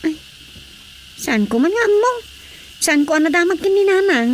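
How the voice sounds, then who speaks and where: A woman's voice: extremely high pitch, expressive, moderate pace, slight background noise, poor audio. An actress playing a teasing, lovestruck young village woman in a Filipino radio drama comedy, with an Ilocano accent. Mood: chatty, curious.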